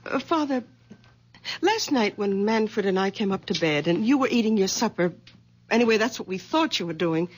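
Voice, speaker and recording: An actress playing a worried, caring middle-aged daughter in a 1970s radio drama, speaking gently and pleading. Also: female voice, medium pitch, lively intonation, moderate pace, lightly acted.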